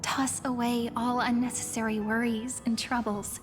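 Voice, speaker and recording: A woman voicing a gentle, devoted young game heroine, earnest and reassuring. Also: fairly high pitch, flat delivery, normal speed, background noise, lightly acted. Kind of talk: video game voice acting. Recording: game dialogue under clear music.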